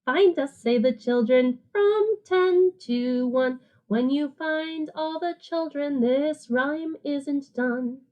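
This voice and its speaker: A woman teacher reading a picture book aloud to children in an animated, sing-song way, with simple, casual explanations. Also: high-pitched, slow pace, hardly any pauses, dull audio.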